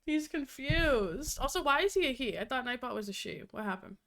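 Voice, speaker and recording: A woman's voice: high-pitched, expressive, fairly quick, a bit bright, a little hiss or hum. A young adult woman streaming, chatty and profane, who sounds nasal and congested from a cold. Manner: chatty, profane, laughs often. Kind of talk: casual live-stream chat.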